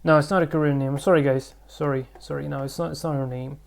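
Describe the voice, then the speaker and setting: Male vlog host, gravelly mellow voice, casual rambling delivery full of fillers. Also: on the high side, steady tone, fast. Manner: rambling, thinking aloud with many fillers. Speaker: channel host.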